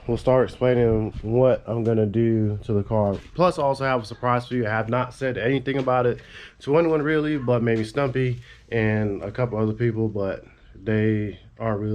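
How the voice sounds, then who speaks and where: A man's voice: lowish voice, fairly even intonation, moderate pace, a little mellow. A man with a head cold, stuffy and congested, casually rambling through a car build in a garage. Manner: laid-back, rambling, casual swearing.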